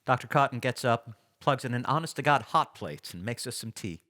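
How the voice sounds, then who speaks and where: A man playing a measured, matter-of-fact reporter narrating his interviews in an audio drama. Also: mid-range voice, animated, sharp tone. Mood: measured, curious. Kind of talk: audio drama, first-person documentary narration.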